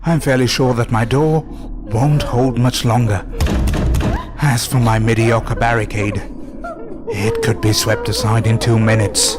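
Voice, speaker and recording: A man narrating a scripted horror story in a deliberate, suspenseful way with dramatic pauses. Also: medium pitch. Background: music under the voice.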